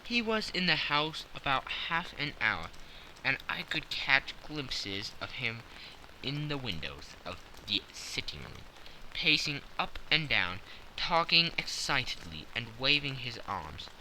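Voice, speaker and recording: A man's voice: medium pitch, sing-song, slow pace, pushed and bright, a little hiss or hum, poor audio. A man narrating a classic story as a scripted, dramatic audiobook.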